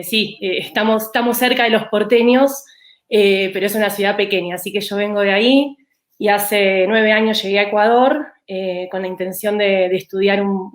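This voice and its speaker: A woman's voice: mid-range voice, echoey room. A woman from Argentina with a rioplatense accent, a political scientist guest who answers thoughtfully with hesitant restarts and "eh" fillers. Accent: Argentine Spanish (rioplatense). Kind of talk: interview answers.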